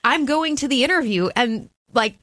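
A woman's voice: high-pitched, expressive, normal speed, a bit bright, no echo. A woman hosting an interview in a studio, chatty and upbeat, laughing often. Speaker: podcast host. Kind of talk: casual interview chat.

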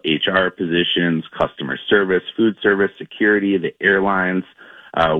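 A man's voice: low-pitched, dry recording, phone-quality sound. A man answering informally as an interview guest, promoting the place he works for. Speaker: interview guest, airport commission representative.

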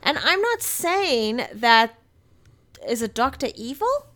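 A woman's voice: high voice, big pitch swings, slow pace, sharp tone. A woman co-hosting a podcast, giving emphatic, opinionated takes in casual film review chat. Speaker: podcast co-host.

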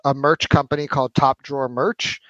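Relaxed man in his forties, muffled. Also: medium pitch, a little fast, dry recording. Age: 40s. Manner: relaxed, storytelling with many fillers. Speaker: podcast guest, marketing executive. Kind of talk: casual interview chat.

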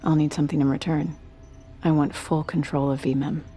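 An actress playing a skeptical, sharp-witted woman in an audio drama, questioning and blunt. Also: sits low, level tone, a little fast, slightly rough, soft background music. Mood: cool, bargaining. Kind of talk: audio fiction acting.

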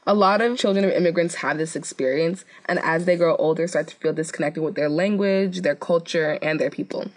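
Young woman co-host, low-pitched, rambling filler-heavy storyteller. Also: brisk. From United States. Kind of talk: casual scripted web video talk.

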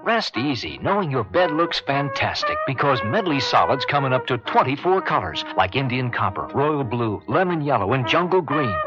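A man delivering a scripted radio advertisement as a commercial announcer. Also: medium pitch. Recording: dull old radio broadcast, loud music.

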